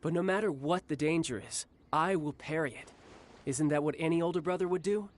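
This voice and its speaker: An actor playing a cocky young male warrior and doting older brother in a game cutscene, smooth and teasing. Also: on the high side, a little fast, a little hiss or hum, lightly acted.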